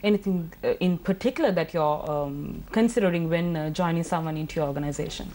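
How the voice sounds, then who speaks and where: A woman's voice: on the low side, quick pace, dark tone. Woman TV host asking polite questions. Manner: formal, polite questioning with some "uh" hesitations. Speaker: TV show host. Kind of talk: TV interview questions.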